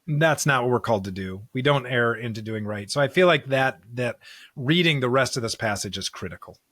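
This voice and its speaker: A man's voice: medium pitch, animated, fairly quick, fairly bright tone, dry recording, studio quality. A man co-hosting a podcast, discussing scripture conversationally in an earnest way, often posing rhetorical questions. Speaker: podcast co-host.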